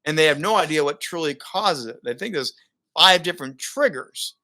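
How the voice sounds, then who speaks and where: A man's voice: a little high, normal speed. A middle-aged man, a broadcaster and speaker, telling stories in a casual interview and laughing often. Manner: animated storyteller, laughs often. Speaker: guest, broadcaster and keynote speaker.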